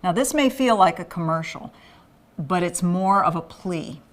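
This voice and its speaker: A woman's voice: on the low side, expressive, unhurried. A woman raised in Thailand, delivering an earnest scripted appeal for a men's ministry. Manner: earnest, pleading.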